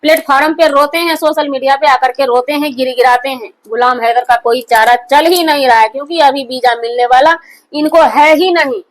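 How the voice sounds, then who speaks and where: A woman's voice: high voice, fairly quick. A woman gives opinionated news commentary in an emphatic, repetitive and accusatory manner. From India. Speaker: online commentary host.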